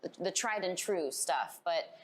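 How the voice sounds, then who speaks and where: A woman on a gaming panel, speaking in a thoughtful, measured way with some fillers. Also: fairly low pitch. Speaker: games critic on a panel. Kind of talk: conversational panel discussion.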